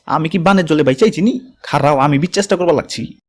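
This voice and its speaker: A man's voice: on the high side, over loud music, lightly acted. A man voicing a greedy, scheming husband in a comic cartoon, brash and complaining, with an Old Dhaka accent. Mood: envious grumbling. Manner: brash, rapid-fire complaining. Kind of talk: comic cartoon fable voice acting.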